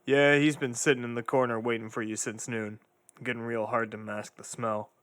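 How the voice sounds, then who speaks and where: A man playing a sarcastic, morose undead sidekick with dry, deadpan muttering in a comedic audio drama. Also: mid-range voice, moderate pace, close to an everyday voice. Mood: dry, weary.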